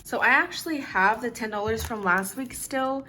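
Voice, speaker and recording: A young woman in her twenties vlogging casually to camera, her voice a little raspy from staying up late, talking in a relaxed, chatty way with plenty of "like" and "literally". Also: a little high, normal speed, rough recording. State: slightly raspy from lack of sleep. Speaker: budgeting vlogger.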